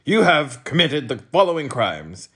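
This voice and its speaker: A man voicing a short, fierce, bossy policeman who shouts accusations, for a storybook reading. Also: a little high, very animated, unhurried, breathy edge, voice pushed up, full character voice. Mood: bossy, shouting.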